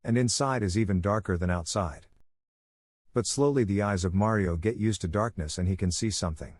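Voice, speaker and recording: A man's voice: fairly low pitch, a little fast, darkish tone, dry recording. A man who speaks English as a second language, narrating a scripted story in a theatrical, suspenseful way. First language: not English.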